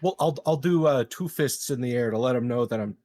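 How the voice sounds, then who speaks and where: A man playing a tabletop game, chatting casually in hesitant, halting phrases. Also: medium pitch, a little mellow, dry recording, clean studio sound.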